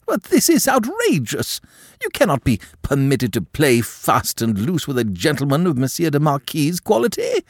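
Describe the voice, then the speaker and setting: A man voicing a haughty, prudish aristocratic countess who scolds in a high, shocked voice. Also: wildly swooping pitch, normal speed, no echo, studio quality, full character voice. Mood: indignant.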